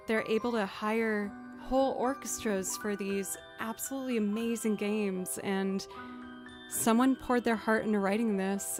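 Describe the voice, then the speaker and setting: Chatty low-voiced woman streamer. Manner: chatty, rambling, self-deprecating. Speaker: streamer and musician. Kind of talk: casual chat with live chat.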